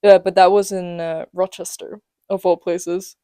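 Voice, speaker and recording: Late-teen male podcast co-host, teasing and jokey, mellow tone, dry close sound. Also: sits high, flat delivery, unhurried, clean tone, no echo. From England and Denmark. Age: late teens. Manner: joking, teasing, lots of fillers. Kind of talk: casual chat. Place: student apartment.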